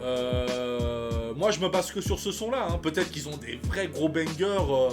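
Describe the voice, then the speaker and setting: Guy in his 30s reacting to a track, slangy, sighs a lot, bit high voice, music going under him. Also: expressive, a little slow. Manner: slangy, hesitant, many sighs and fillers. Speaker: reaction channel host. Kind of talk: casual reaction commentary.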